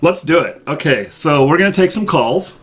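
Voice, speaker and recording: A man hosting a casual live show, rambling and filling pauses with ums and uhs, blunt and plain-spoken. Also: on the high side, animated, husky. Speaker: show host.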